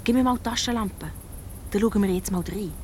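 A woman playing a bold young girl detective in a children's radio play, pert and quick to retort. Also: mid-range voice, darkish tone, slight background noise, natural voice. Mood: secretive.

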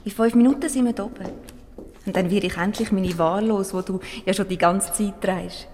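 A woman's voice: mid-range voice, fairly even intonation, normal speed, close to an everyday voice. An actress playing a bold, playful, insistent woman coaxing her boyfriend in a Swiss German comedy radio play. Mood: determined. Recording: reverberant radio play recording with background noise.

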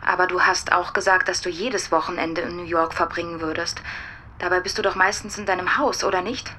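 An actress playing a composed but reproachful married young woman in a radio play, measured and questioning. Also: on the low side, fairly even intonation, sharp tone, a little hiss or hum.

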